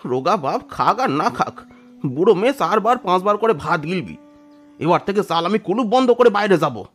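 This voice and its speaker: A man's voice: sits high, very animated, moderate pace, a bit bright, faint music behind, full character voice. A man playing a poor, weary peasant father in an audio drama, rustic and emotional, tender but hot-tempered. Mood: furious, scolding. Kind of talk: dramatised audio story acting.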